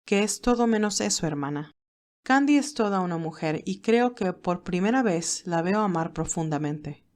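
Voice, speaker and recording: A woman playing a wise, gentle older motherly mentor, speaking in a measured, reassuring way in an audio drama. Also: on the low side, lively intonation, lightly acted. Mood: knowing. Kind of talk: audio drama character acting.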